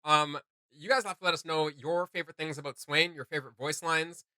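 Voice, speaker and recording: A man hosting a casual reaction video, giving gushing, slangy commentary in a halting flow. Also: on the high side, steady tone, bright, forceful tone, no room sound.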